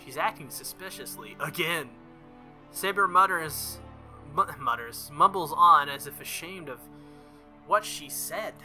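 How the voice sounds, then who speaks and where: A man with a sharply bright voice set a little high reads visual novel dialogue aloud for a playthrough. He is playful and jokey, occasionally tripping on a line and reading it again. Faint game music plays behind him. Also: expressive, measured pace. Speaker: video game streamer.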